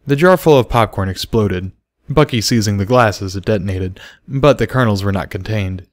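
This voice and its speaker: A man's voice: fairly low pitch, normal speed, no room sound, studio quality. A man reading scripted story narration as an audiobook reader.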